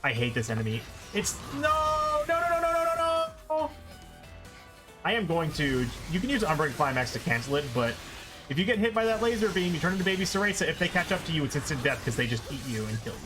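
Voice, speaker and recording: A man's voice: fairly high pitch, sing-song, a little fast. A man giving live video game commentary, thinking aloud and exclaiming in frustration as he plays. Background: video game music.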